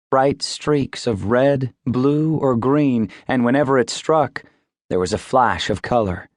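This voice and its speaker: A man narrating scripted fiction as an audiobook, in a measured storytelling manner. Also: medium pitch, darkish tone, no echo, studio quality. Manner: measured, descriptive storytelling delivery.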